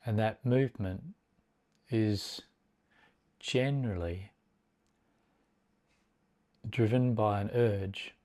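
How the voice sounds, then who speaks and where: A man leading a guided meditation as a teacher, speaking in a measured, deliberate way with long pauses between short phrases. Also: lowish voice, mellow.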